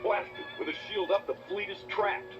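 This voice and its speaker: An actor playing a brash rebel smuggler hero in a children's read-along adventure, commanding and urgent. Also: male voice, high voice, fairly even intonation, moderate pace, lightly acted. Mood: frustrated. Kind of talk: children's read-along audio drama acting. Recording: muffled distorted toy cassette, loud music behind.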